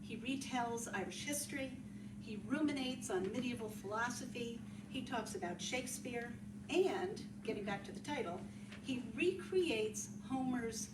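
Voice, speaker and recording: Woman presenter, literary explainer, raised pitch, roomy echo, clear background noise. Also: lively intonation, moderate pace. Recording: echoey, distant, noisy room recording.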